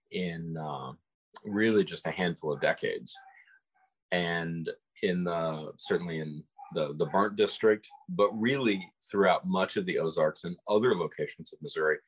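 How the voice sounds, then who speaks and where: A man co-hosting a podcast, talking in a measured, thoughtful way with frequent restarts. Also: sits low, husky, low-quality recording. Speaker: podcast co-host. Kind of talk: conversational discussion of folklore.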